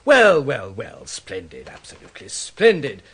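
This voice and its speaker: An actor playing a nervous, apologetic office manager with an English accent in a British radio drama, hesitant, repetitive and eager to placate. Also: male voice, medium pitch, huge pitch swings, measured pace, fairly bright tone, breathy edge, full character voice. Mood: awkward, placating. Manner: flustered, hesitant, repeats himself.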